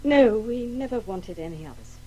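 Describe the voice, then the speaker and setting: A woman's voice: medium pitch, expressive, normal speed, warm tone, slightly rough, rough recording. An actress playing a matter-of-fact, controlled middle-aged English wife who corrects her husband in a radio drama. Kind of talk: 1980s radio play acting.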